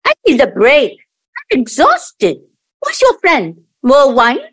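A girlish young daughter in an audio play , acted by a woman. Her very high voice swoops dramatically and moves at an unhurried pace, breezy and exclamatory. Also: huge pitch swings, a bit bright, full character voice. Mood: weary, curious.

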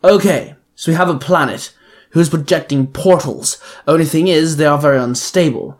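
A man's voice: on the high side, moderate pace, natural voice. A man voicing Mister Pocket, a commanding male boss of a mutant crew who speaks in firm, rallying speeches. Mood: urgent.

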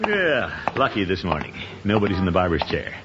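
A pompous flustered uncle, low voice that swoops all over, hissy old radio tape. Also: male voice, sing-song. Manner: blustery, flustered, drawn-out reactions. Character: pompous middle-aged bachelor uncle, city official. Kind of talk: 1950s radio sitcom acting. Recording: dull old radio recording with loud hiss.

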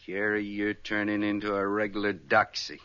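Mean cowboy brother, low forceful american voice, gruff and threatening, old dull radio sound. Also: male voice, monotone, normal speed. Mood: menacing. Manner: rustic Western speech, blunt and menacing. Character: hot-tempered, possessive rancher brother, threatening and gruff. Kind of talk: 1950s radio Western acting.

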